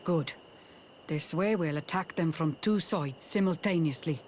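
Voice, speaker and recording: A man's voice: fairly high pitch, unhurried, a little mellow, a little hiss or hum, natural voice. A man playing a stern, resolute clan war leader. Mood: grim resolve. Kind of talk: video game voice acting.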